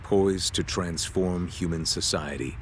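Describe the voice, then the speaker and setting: A man playing a grave narrator of a sci-fi game saga, speaking in a portentous, measured way with dramatic pauses. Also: low voice, slight background noise. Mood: solemn. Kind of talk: scripted cinematic game narration.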